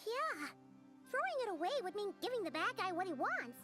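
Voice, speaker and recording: A small, eager companion, chirpy and blunt, asking questions in a very high voice that leaps up and down. Soft game music plays underneath. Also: female voice, sing-song, fairly quick, full character voice. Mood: worried. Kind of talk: game voice acting.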